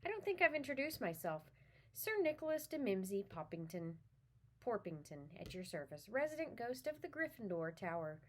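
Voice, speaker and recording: A woman's voice: medium pitch, big pitch swings, normal speed, a little hiss or hum, natural voice. A woman reading the part of a polite, touchy old ghost knight in a storybook, with a stiff, formal manner. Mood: wistful, courteous.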